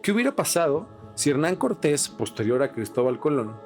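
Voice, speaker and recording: A man's voice: fairly high pitch, very animated, a little fast, soft background music. A man with a Mexican accent talking casually on a podcast, informal, slangy and opinionated. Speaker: podcast co-host.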